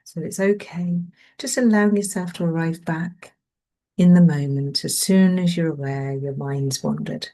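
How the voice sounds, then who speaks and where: A woman leading a guided mindfulness meditation in a gentle, soothing manner, leaving long pauses between phrases. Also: deep voice, fairly even intonation.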